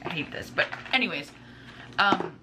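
A woman's voice: lowish voice, expressive, moderate pace. A woman in her twenties chatting casually to camera at home as a vlogger, rambling informally and drifting into asides. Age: 20s. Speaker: vlogger, stay-at-home mother. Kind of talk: casual chat to camera, showing groceries. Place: indoors in a home kitchen. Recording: clearly noisy home recording with room ambience.

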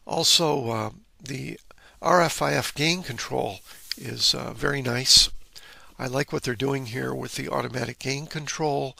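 A man's voice: mid-range voice, a little slow, creaky, bright, forceful tone, no echo. A man presenting an informal, instructive technical walkthrough, explaining electronics step by step. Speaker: presenter of an electronics repair video.

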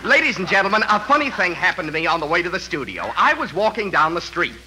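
A man's voice: sits high, sing-song, fast talker. An American man playing an eager sound man who fancies himself a comedian in a 1940s radio comedy. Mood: eager, plodding on. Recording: noisy 1940s radio recording.

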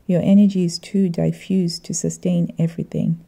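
A middle-aged woman narrating a reflective vlog voice-over in a measured, earnest way. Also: on the low side, flat delivery, creaky, dark tone. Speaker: vlogger.

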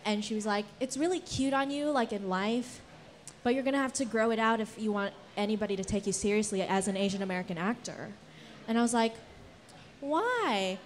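A woman's voice: medium pitch, animated, a little fast. A young woman who grew up in the Philippines, Singapore and Guam, telling personal stories in an earnest, rambling way as a panelist with a handheld microphone. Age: young adult. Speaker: panelist, theater actor. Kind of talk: casual personal storytelling on a panel. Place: indoor event space with brick wall. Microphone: handheld event microphone.

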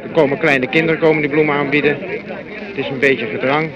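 A man's voice: fairly high pitch, fairly even intonation, fairly quick. A male radio reporter improvising live 1940s outdoor commentary, repeating phrases, on a dull old recording. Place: outdoors in a crowd. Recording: dull 1940s recording, crowd noise behind. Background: crowd noise.

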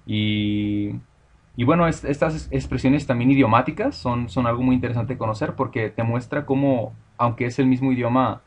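A man's voice: mid-range voice, moderate pace. A Mexican man being interviewed, speaking Spanish with a Mexican accent in a thoughtful, hesitant way, often restarting his words. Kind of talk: casual conversation.

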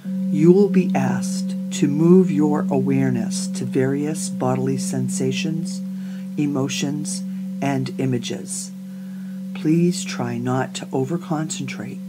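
Slow, calm woman guiding meditation over music. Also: a bass voice, poor audio. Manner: calm, soothing, deliberate with long pauses. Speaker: yoga nidra teacher and podcast host. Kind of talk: guided meditation.

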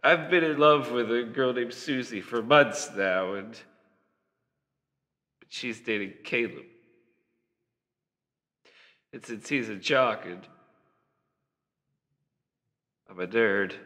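A man's voice: medium pitch, slow pace, long pauses, pushed and bright, faint music behind. An actor playing an earnest, know-it-all nerdy young man in a comedy film, with formal, over-precise diction. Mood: dejected. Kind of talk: scripted comedy film acting.

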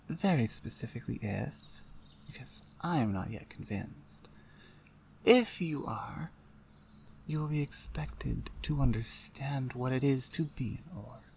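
A woman's voice: a bass voice, darkish tone, a little hiss or hum, heavily acted. Lady doing a bossy big orc shaman woman, pointed and scornful asmr roleplay, bit of background ambience. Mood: blunt, stern. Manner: deliberate, pointed, condescending, interrogating. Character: stern, blunt, domineering big orc shaman woman, older sister. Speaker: audio roleplay voice actor. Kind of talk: ASMR roleplay, one-sided dramatic dialogue.

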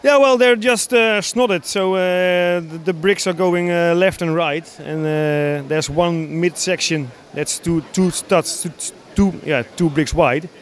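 A man in his thirties from the Netherlands with a Dutch accent, explaining his hobby builds in a casual, halting interview. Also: high-pitched. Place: large busy exhibition hall, indoors. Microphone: handheld interview microphone.

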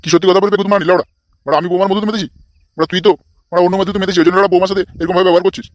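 A man's voice: high-pitched, flat delivery, racing, no echo, lightly acted. A man voicing a crude, bossy, lecherous old father-in-law in a comic cartoon, foul-mouthed and blustering. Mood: angry, scolding.

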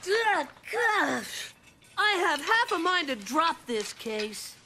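A woman's voice: extremely high pitch, very animated, slow pace, over loud music, heavily acted. A woman voicing a chubby cartoon boy who acts like a self-important detective and grumbles. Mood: pompous. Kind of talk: cartoon voice acting.

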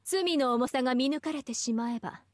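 Actress playing a haughty, high-voiced young goddess of justice. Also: female voice, a little fast, dry recording, natural voice. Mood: proud, teasing. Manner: refined, formal ladylike speech. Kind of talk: scripted anime game voice acting.

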